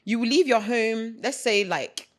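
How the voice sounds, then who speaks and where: Chatty young London woman, podcast host. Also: on the high side. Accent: London with Nigerian slang. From United Kingdom. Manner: animated, chatty, quick to agree. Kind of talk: casual podcast chat.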